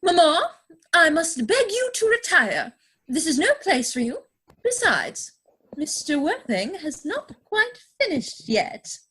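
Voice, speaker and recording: A woman's voice: very high voice, sing-song, sharp tone, heavily acted, poor audio. A woman playing a romantic, willful upper-class young lady in a theatrical period comedy, with an affected, gushing manner. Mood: insistent. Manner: affected, gushing, grandly drawn-out delivery.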